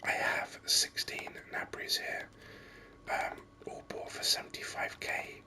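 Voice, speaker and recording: A man hosting a gaming video in a breathy ASMR whisper, rambling along unhurriedly close to the microphone. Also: slow. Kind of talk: ASMR whispered game commentary.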